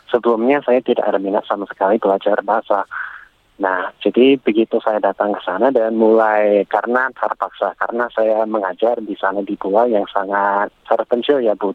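Foreign male guest on a phone line, careful and halting, dry and lo-fi. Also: on the low side, no room sound. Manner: halting, careful speech of a language learner. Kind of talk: radio interview.

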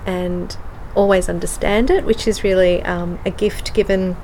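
A woman's voice: lowish voice, steady tone, normal speed, mellow, a little hiss or hum, rough recording. Hesitant Australian woman, code-switching. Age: adult with children. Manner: hesitant, many ums, switches between English and Slovenian. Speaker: guest. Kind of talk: casual interview.

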